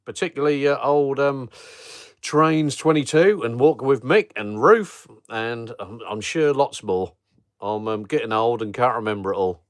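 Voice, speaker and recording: A middle-aged man with a Norfolk accent, hosting a relaxed live chat for viewers of his travel channel, rambling with ums and chuckles. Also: medium pitch, a little slow, dry recording, studio quality. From Norfolk, England. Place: home at night, streaming on a phone. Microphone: phone held in portrait mode.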